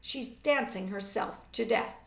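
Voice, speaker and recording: A middle-aged society mother, read by a woman, weary and disapproving in a storybook tale. The voice is creaky and dismissive, heard a little way from the mic in a roomy space. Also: fairly high pitch, measured pace, pitched above the performer's own voice. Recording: roomy, distant webcam microphone.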